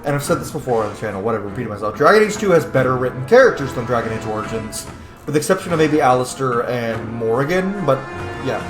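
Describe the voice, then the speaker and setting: A man's voice: medium pitch, expressive, quick pace, reverberant, over music. A man giving casual, opinionated gaming commentary, speaking bluntly and emphatically with frequent swearing. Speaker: gaming channel host. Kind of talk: casual let's-play commentary with opinionated rambling.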